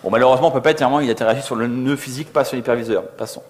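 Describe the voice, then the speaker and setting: A man's voice: medium pitch, steady tone, rapid-fire. A man giving an informal technical conference talk in a slangy, conversational way, often acting out little dialogues. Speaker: conference co-speaker, software developer.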